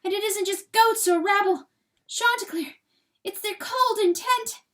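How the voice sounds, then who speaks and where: A woman voicing a frightened female hen who pleads and wails in a high voice. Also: unhurried, a bit bright, recorded from a distance. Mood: desperate pleading.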